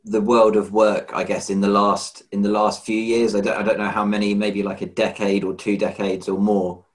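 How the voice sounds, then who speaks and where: A man's voice: fairly low pitch, flat delivery, normal speed. A man hosting a podcast, asking questions in a hesitant way and often repeating words as he searches for them. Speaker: podcast host. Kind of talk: conversational interview.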